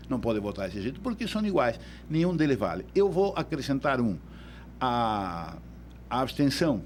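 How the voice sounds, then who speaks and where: A man's voice: mid-range voice, a little mellow, a little hiss or hum. A man from Uruguay with a Uruguayan Spanish accent, a law professor explaining things as a guest in a radio studio, often repeating words while he thinks.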